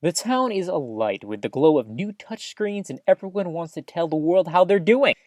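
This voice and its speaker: An actress as a folksy small-town radio host in an audio drama, a woman with a low voice that swings up and down. She delivers chatty, upbeat news patter full of mild old-fashioned exclamations, recorded very dry. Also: expressive, normal speed, a little mellow, dry recording, close to an everyday voice.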